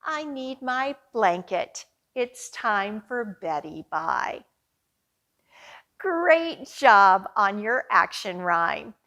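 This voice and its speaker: A woman hosting a children's storytime, speaking clearly to young children with a sing-song delivery. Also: medium pitch, very animated, very slow, sharp tone. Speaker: children's library storytime host. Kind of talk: storytime hosting with a chanted action rhyme.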